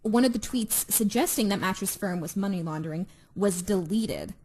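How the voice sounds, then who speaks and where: A woman's voice: medium pitch, normal speed, a little mellow, husky. A woman chatting casually as a podcast co-host, in an informal, slangy way with some swearing. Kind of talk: casual chat.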